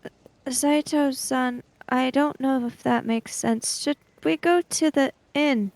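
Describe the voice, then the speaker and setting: A woman's voice: high-pitched, takes it slowly, darkish tone, dry recording, pitched above the performer's own voice. A woman playing a polite female samurai in a tabletop game, formal, hesitant and puzzled. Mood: confused.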